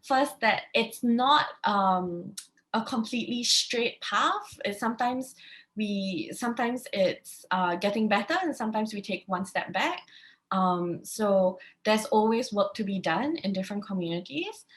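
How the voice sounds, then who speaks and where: Moderator: woman, mid-pitched, halting with restarts, on a webinar video call. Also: expressive. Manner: hesitant, restarts phrases.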